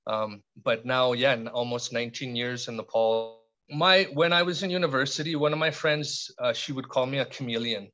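Middle-aged Canadian man, podcast guest, reflective and halting, dull lo-fi audio. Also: mid-range voice, pushed and bright. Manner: thoughtful, halting, many restarts and fillers. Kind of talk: reflective conversational interview.

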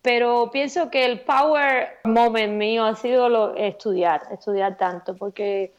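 A woman's voice: on the high side, unhurried, clear, resonant tone, lo-fi sound. A Cuban woman with a Cuban Spanish accent, a singer-songwriter chatting as an interview guest, thoughtful and often repeating words as she looks for them. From Cuba. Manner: thoughtful, repeats words while searching for them, laughs easily. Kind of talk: relaxed interview chat.

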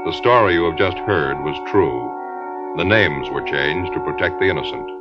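A man's voice: lively intonation, moderate pace. A male radio announcer making emphatic, formal announcements. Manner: booming, emphatic announcer delivery. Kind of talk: formal announcing and sponsor spots. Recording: dull 1950s radio transcription with music.

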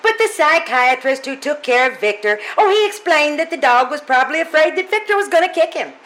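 A woman's voice: high voice, lively intonation, brisk, fairly bright tone. A woman playing a chirpy, motherly, scatterbrained landlady in an old-time radio comedy, fussy and rambling. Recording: faint noise, live stage performance.

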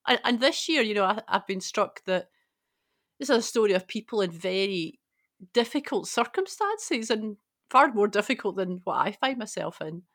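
Woman panellist, mid-pitched, reflective chat. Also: very animated, normal speed, fairly bright tone. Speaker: podcast panellist. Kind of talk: casual reflective discussion.

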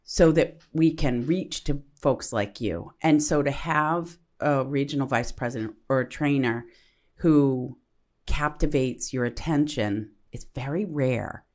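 A woman with a low voice co-hosting a casual talk show. She speaks with eager enthusiasm and explains things at length, piling on asides. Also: a little slow, a little mellow. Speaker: podcast co-host. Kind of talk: casual podcast conversation.

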